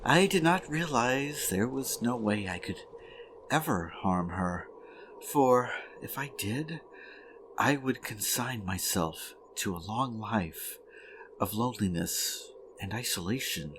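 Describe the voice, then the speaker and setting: A man playing an earnest, solemn goblin warrior in a fantasy audio drama, speaking formally and deliberately. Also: lowish voice, animated, background noise. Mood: confessing, solemn. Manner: formal, deliberate, heartfelt declarations.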